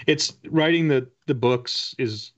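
A middle-aged man chatting casually as an interview guest, telling stories in a halting, rambling, humorous way. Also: mid-range voice, highs cut off. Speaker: interview guest, author and illustrator. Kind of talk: casual chat on a video interview. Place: indoor room lined with bookshelves.

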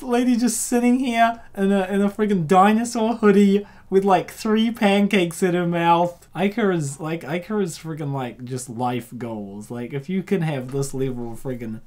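A woman hosting a comic dub with casual, rambling, excitable reaction commentary. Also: mid-range voice.

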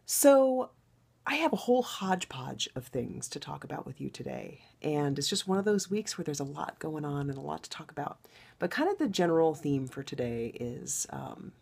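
A middle-aged woman with a low, baritone-like voice hosts her podcast from home. Today her voice is husky and gravelly, with a breathy rasp. She talks in a chatty, rambling way and laughs often. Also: sing-song, moderate pace, a little mellow. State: husky voice after a loud wedding. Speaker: podcast host. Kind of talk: casual podcast monologue.

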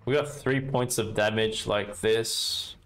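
A man's voice: lowish voice, takes it slowly. Chatty Australian man streaming a card game. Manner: relaxed, chatty, talks to chat while playing. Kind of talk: casual gameplay commentary. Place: indoor room at a desk.

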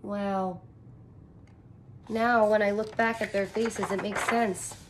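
Female teacher, 30s, unhurried expressive storytime read-aloud with simple explanations, slightly noisy home recording. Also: mid-range voice. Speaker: elementary teacher reading aloud. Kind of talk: picture book read-aloud with commentary for young children. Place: small furnished room indoors.

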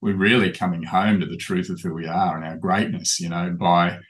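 Aussie guy with a really low voice, calm coachy talk on a home webcam. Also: normal speed. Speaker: life coach. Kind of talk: reflective coaching talk to camera. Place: indoors in a small home room.

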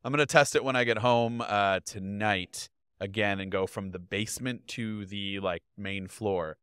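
Guy in his 30s chatting on a podcast, bright crisp voice, pretty dry sound, talks with his hands. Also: on the low side, moderate pace, dry recording. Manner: animated, gestures a lot while talking. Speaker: podcast guest. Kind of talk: casual chat. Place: home studio, indoors. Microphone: podcast mic on boom arm.

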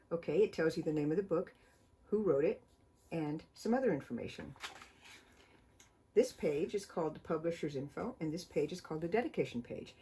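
Woman, middle-aged, children's author, storytime reading, mellow tone, slightly low voice. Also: slight background noise. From United States. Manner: cheerful, slow and expressive storytelling. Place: home studio full of books, indoors.

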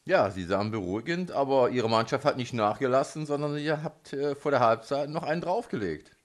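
A man's voice: medium pitch, expressive, brisk. Male interviewer for local sports radio, mid-range voice, conversational question-and-answer delivery.

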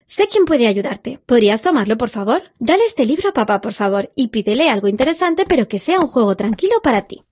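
A woman voicing a gentle, patient hippo mother in a children's cartoon. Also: a little high, sing-song, a little fast, hardly any pauses, breathy edge. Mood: gentle, instructing.

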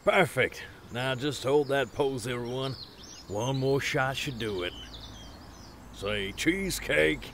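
A man voicing a courteous but sinister family photographer, polite and unhurried, in a comic dub. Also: medium pitch, background noise. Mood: smooth, courteous.

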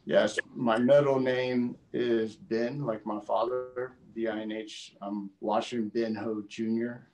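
A man's voice: mid-range voice, fairly even intonation, takes it slowly, hardly any pauses, darkish tone, faint music behind. A man in his forties from Houston, a native Vietnamese speaker, earnestly telling his life story in an oral history interview over a video call from home. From Houston, Texas. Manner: earnest, with frequent "you know" fillers. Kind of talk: reflective personal storytelling. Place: home room, indoors.

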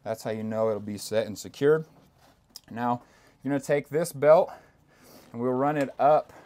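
A man's voice: mid-range voice, normal speed, mellow. A young man giving a step-by-step, hands-on demonstration inside a small parked bus, talking steadily with the odd filler as he works.

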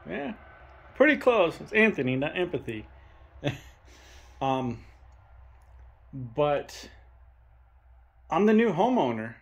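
A young man filming a ghost-hunting vlog in a dark abandoned farmhouse, talking to spirits and loudly challenging them. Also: a little high, big pitch swings, slow, gravelly, pauses often, slightly rough, muffled recording. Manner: loud provoking challenges to the spirits. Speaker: paranormal investigator.

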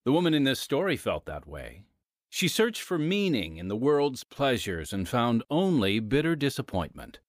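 A man narrating a scripted radio program with solemn, measured emphasis. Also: medium pitch, very animated, dry recording, studio quality.

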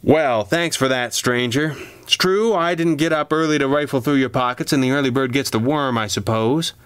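A man voicing a young undercover policeman in a crime story, brash and defiant, with sharp, challenging retorts. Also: mid-range voice, moderate pace, smooth, no echo, pitched above the performer's own voice, lightly acted. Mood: cool, wry.

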